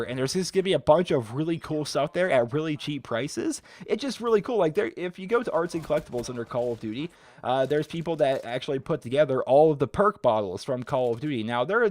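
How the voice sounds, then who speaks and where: Twenties guy from western Pennsylvania, hoarse from a cold, rapid rambling gaming vlogger. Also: mid-range voice, no room sound. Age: 20s. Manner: rambling, heavy filler use. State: sick, raspy voice. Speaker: gaming YouTuber. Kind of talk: casual vlog chat.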